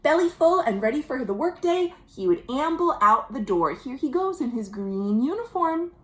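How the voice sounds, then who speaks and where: An American woman reading a picture book aloud to young children, with chatty asides and gentle questions, drawing out key words. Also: sits high, big pitch swings, moderate pace. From United States. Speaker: children's librarian hosting a virtual storytime. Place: at home.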